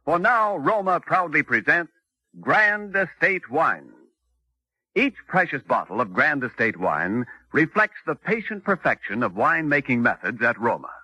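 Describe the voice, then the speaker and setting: A male announcer from 1940s radio delivers scripted sponsor copy in a grand, formal way. His highish voice is very sharp and sweeps emphatically up and down, dry and close to the mic. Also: very animated, unhurried, breathy edge, dry recording, low-quality recording.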